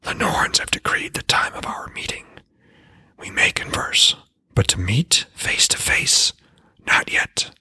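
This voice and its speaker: A man voicing a taunting, cryptic spirit that speaks from a well in an audiobook, in an eerie hushed whisper. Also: measured pace, dry recording. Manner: mocking, cryptic, patient. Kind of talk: audiobook character voice.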